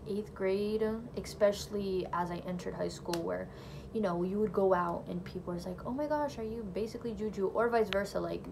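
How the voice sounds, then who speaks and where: A woman's voice: mid-range voice, gravelly, dark tone, slight background noise, poor audio. A young woman whose first language is Portuguese, chatting casually to camera in her bedroom about her faith testimony, full of "like" and "you know". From Brazilian family. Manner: chatty, lots of "like" and "you know", laughs lightly. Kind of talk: casual personal storytelling to camera. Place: small bedroom, indoors. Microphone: phone or camera close in front.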